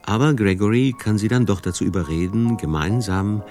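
Very deep-voiced male feature narrator. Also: brisk, over music. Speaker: documentary narrator. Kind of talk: scripted radio feature narration.

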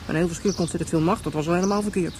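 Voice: medium pitch, steady tone, racing, darkish tone, slight background noise, lightly acted. An actor in a radio drama playing a naive, chatty child who muses aloud in childlike, repetitive phrases. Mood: musing, earnest.

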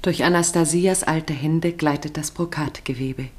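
A female narrator with a low voice for a woman. She reads scripted radio play narration in a measured, serious, literary style. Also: fairly even intonation, darkish tone.

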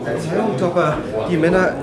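An actor playing an eager, slow-witted gangster henchman in a comic radio play, deferential and hesitant. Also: sits high, steady tone, over a noisy crowd. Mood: nervous. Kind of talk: German radio play acting, comic crime satire.

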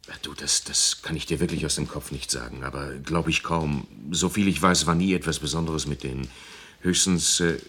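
A man's voice: very deep voice, moderate pace, pushed and bright, slightly rough, heavily acted. An actor playing a grumpy, impatient man at a detective agency, answering curtly in a naturalistic radio drama. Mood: hesitant, grudging. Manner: curt, impatient.

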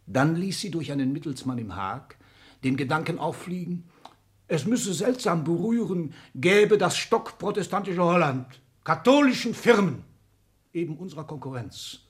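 An actor playing a domineering, vain seventy-year-old industrial magnate in a 1960s radio drama, imperious and declamatory. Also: male voice, a little high, expressive, moderate pace, close to an everyday voice. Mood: scornful, brooding. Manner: imperious, declamatory, bursting from muted asides into shouts.

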